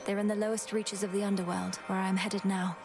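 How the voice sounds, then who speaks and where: Woman playing young witch princess, earnest, resolute, mid-pitched, formal phrasing, game character, music behind. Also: level tone, a little fast, lightly acted. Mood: earnest, composed. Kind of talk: video game voice acting.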